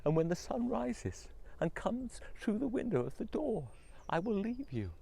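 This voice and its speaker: A man doing a spellbound wife from a folk tale, lifted voice, urgent and pleading, bit gravelly. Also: high voice, big pitch swings, a little slow, voice pushed up, full character voice. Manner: urgent, hushed. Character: enchanted wife turned into a rose, urgent.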